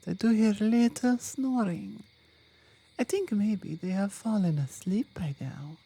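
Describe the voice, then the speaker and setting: A woman voicing a loving, tender mama bear in an ASMR roleplay, speaking softly and slightly breathily, with halting, foreign-sounding phrasing and an affectionate manner. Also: fairly low pitch, no room sound, heavily acted. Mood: gentle, reassuring. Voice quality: soft, hushed, slightly breathy. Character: loving, tender female mama bear, devoted mate and mother. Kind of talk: ASMR roleplay, intimate scripted monologue.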